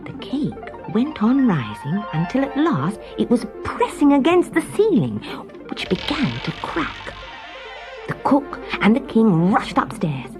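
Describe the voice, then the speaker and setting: A woman narrating a scripted children's story as an expressive storyteller. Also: fairly high pitch. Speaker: story reader.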